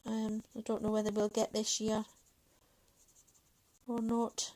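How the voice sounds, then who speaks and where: A woman's voice: fairly high pitch, completely flat delivery, takes it slowly, dark tone, rough recording. A woman in her thirties from Manchester, with a Mancunian accent touched by a Scottish lilt, chatting in a rambling way with many fillers as she hosts a livestream. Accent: Mancunian English with a Scottish lilt. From England, Manchester. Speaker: livestream host. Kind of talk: casual chat while colouring.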